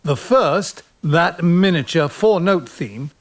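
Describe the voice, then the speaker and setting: A man's voice: a little high, lively intonation, takes it slowly. An actor in an audio drama playing a cultured, romantic male physics teacher, earnest, erudite and self-deprecating. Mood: enthusiastic. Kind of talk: audio drama dialogue and narration.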